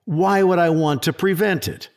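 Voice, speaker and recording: An actor playing a prickly, sarcastic government secretary and skeptic in an audio drama, snippy and sardonic. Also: male voice, sits high, normal speed, natural voice. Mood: probing.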